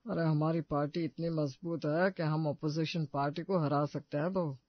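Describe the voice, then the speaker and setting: A man's voice: fairly high pitch, steady tone, normal speed, no echo, dull audio, natural voice. A man voicing a kindly, playful older father-in-law in a cartoon moral story. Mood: conspiratorial. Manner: encouraging, playful.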